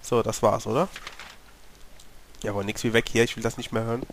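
Man, slightly hoarse from a cold, casual let's play commentary, mid-pitched voice, faint background noise. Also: fairly even intonation, a little fast. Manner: chatty, talks to himself and the game character. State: has a cold, clearing his throat.

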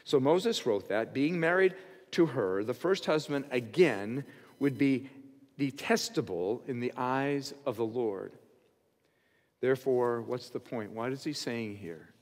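An older man in his sixties preaches from a pulpit with an earnest, teaching manner. His voice sits at a middle pitch and climbs when he stresses a point. A long reverb from the church hall trails each phrase. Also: unhurried. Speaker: pastor. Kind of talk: expository sermon. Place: church stage behind a pulpit.

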